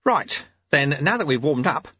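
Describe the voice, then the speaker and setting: A man's voice: a little high, big pitch swings, unhurried, sharp tone, slightly rough, no room sound, dull audio, voice pushed up, exaggerated voice. A man voicing a wily, cheerful old sweeper who coaxes and teases in colloquial speech, as an audiobook character. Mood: goading, gleeful. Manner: colloquial, chirpy, coaxing.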